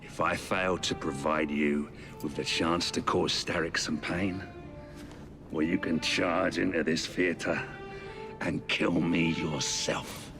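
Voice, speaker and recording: An actor playing a flamboyant, theatrical Victorian gang boss in a video game, gravelly and grandiose, savoring each phrase. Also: male voice, low voice, level tone, slow pace, music in the background. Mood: silky, amused.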